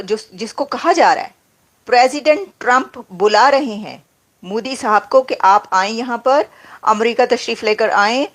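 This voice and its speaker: A woman's voice: on the high side, fairly bright tone. A woman giving news commentary in an emphatic way, repeating points and asking rhetorical questions.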